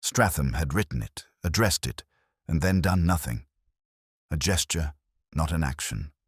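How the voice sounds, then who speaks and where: Very deep, husky male narrator. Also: animated, dry recording, clean studio sound. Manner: measured, literary, brooding. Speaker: narrator of a detective story. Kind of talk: first-person scripted mystery narration.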